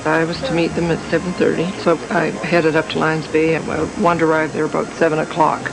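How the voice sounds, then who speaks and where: A man's voice: a little high, monotone, brisk, vocal fry, over music. A man recounting events as a witness in a documentary interview, on noisy old TV audio.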